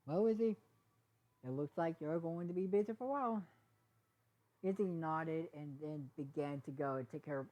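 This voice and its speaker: A woman reading a story aloud in a halting way, often stumbling over words. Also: sits low, darkish tone. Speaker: fan fiction reader. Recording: dull, lo-fi sound.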